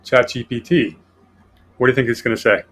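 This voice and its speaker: A man's voice: mid-range voice, steady tone, fairly quick, a bit bright. A man hosting a casual political podcast, reading from his notes in a sardonic way. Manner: sardonic, reads notes aloud. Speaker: podcast host.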